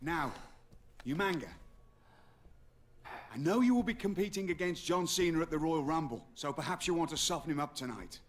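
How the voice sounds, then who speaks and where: A man's voice: on the high side, animated, normal speed, pauses often, close to an everyday voice. A man playing a haughty, scheming wrestling manager in a video game, bossy and theatrical, against heavy background noise. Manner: commanding, theatrical. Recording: very noisy background.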